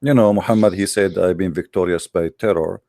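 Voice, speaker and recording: A Middle Eastern man with an Arabic accent, a guest on an audio call, talking haltingly with many fillers. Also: deep voice, vocal fry, dark tone, no echo, studio quality. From Middle East.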